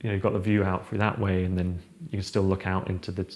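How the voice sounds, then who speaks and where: A young architect chatting casually while showing a room in a house, rambling with many fillers and restarts. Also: male voice, deep voice, level tone, quick pace, darkish tone. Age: young adult. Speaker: architect guest. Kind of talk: casual chat, house tour. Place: large open-plan house living room, indoors.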